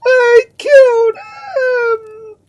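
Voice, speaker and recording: A man voicing a crazed, grief-stricken duke in a storybook, with piercing, drawn-out screams of agony. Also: very high voice, animated, dry recording, pitched above the performer's own voice, exaggerated voice. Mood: anguished frenzy.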